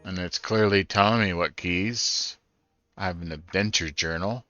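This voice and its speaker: A man casually narrates his playthrough, musing aloud as he goes. His voice is low and clear, recorded dry with game music behind it. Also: normal speed, bright, forceful tone, highs cut off. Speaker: gaming streamer. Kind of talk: casual first-time playthrough commentary.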